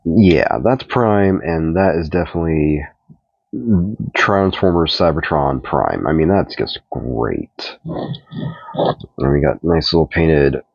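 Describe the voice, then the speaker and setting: A middle-aged man with a stuffed-up voice, casually reviewing a toy in a relaxed, rambling way. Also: a bass voice, slow, creaky, dry recording. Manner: relaxed, rambling, repeats himself while handling the figure. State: stuffed up from a cold. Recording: dull, dry home recording.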